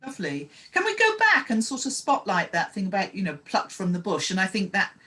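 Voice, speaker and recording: A woman's voice: mid-range voice, huge pitch swings, a little fast, pushed and bright. A woman hosting an interview as a story coach, asking probing questions with frequent hesitant fillers. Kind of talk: casual interview chat.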